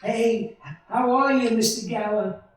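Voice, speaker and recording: A woman's voice: fairly high pitch, unhurried, lightly acted. A woman acting in a live radio play as an elated, loving husband and father, exclaiming effusively and repeating words. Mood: amazed, happy. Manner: exclamatory, effusive, breathless repetitions. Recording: echoey hall, distant mic.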